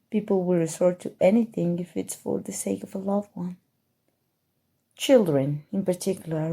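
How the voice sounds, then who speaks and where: Woman as a husky, dark-voiced smug sorcerer. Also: lowish voice. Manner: soft, teasing, deliberate, drifting into whispers. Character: smug, coolly menacing, teasing evil sorcerer kidnapper. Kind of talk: ASMR roleplay, scripted character monologue.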